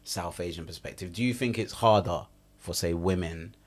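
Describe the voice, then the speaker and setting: A man's voice: low voice, normal speed. A man in his thirties hosting a podcast in a studio, asking friendly, teasing questions with energy. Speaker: podcast host. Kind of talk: casual podcast interview. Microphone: studio mic on boom arm.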